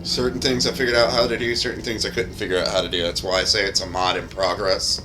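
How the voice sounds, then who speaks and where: A man's voice: fairly low pitch, level tone, fast. Casual man commentating over background music. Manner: rambling, explanatory, casually profane. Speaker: game streamer and modder.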